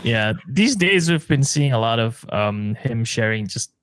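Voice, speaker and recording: A man's voice: mid-range voice, animated, a little slow, fairly bright tone, no echo. A man hosting a casual podcast interview, reacting a lot and asking loose, rambling questions. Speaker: podcast host.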